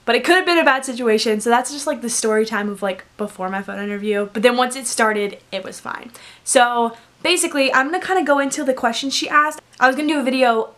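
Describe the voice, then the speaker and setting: Young woman, highish pitch, rapid pace, informal rambling advice, casual vlogger delivery. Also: fairly bright tone. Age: young adult. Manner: rambling, informal, heavy use of "like". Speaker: vlogger giving interview tips. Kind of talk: casual chatty storytime and advice.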